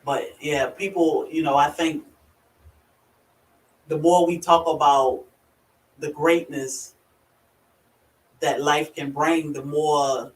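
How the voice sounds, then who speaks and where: A woman's voice: low voice, steady tone, slow pace, long pauses. Country-voiced Virginia woman in an echoey room. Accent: rural Virginia. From Virginia, USA. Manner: chatty, laughs often, greets viewers by name. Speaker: livestream host. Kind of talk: casual live chat. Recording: echoey room, far from the mic.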